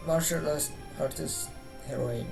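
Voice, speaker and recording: A man's voice: on the high side, rapid-fire, gravelly, over music. A man who speaks Persian natively, giving joking, sarcastic casual commentary as he streams a game.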